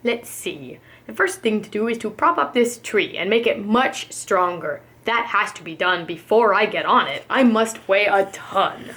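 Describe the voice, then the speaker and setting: A woman voicing a huge, gentle, faithful male elephant from a children's storybook in an earnest, deliberate manner. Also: mid-range voice, expressive.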